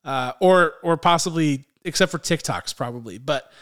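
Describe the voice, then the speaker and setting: Chatty man with a smooth, higher voice. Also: lively intonation, moderate pace, a bit bright. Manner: upbeat, chatty, teasing. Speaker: podcast co-host. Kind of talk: casual chat.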